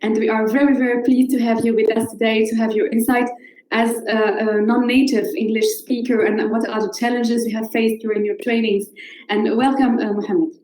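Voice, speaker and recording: A woman's voice: on the high side, little pitch movement, brisk, a little mellow, echoey room, low-quality recording. A woman from Morocco, whose first language is Moroccan Arabic, moderating a live online panel with frequent hesitant fillers over a video call. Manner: hesitant, many filler uhs.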